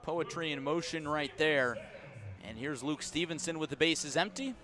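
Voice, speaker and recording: A man calling live baseball play-by-play as a radio announcer, measured between pitches and lifting with the action. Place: outdoor ballpark broadcast.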